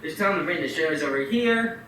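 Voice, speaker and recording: A man playing a scheming, wealthy old banker who sounds smooth and falsely helpful with a menacing edge. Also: fairly high pitch, animated, a little fast, pushed and bright, slight background noise. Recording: echoey room, far from the mic.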